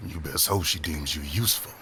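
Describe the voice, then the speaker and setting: An actor playing a big, swaggering sailor in an audio drama, teasing and faintly intimidating. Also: male voice, rumbling low, brisk, crowd noise. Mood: mocking, menacing. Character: broad, swaggering, mocking male pirate sailor.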